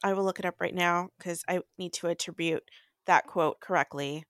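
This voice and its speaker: A woman's voice: fairly low pitch, fairly even intonation, normal speed, a bit bright, no echo, studio quality. A woman co-hosting a book podcast, chatting casually and stating her opinions emphatically. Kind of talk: casual chat.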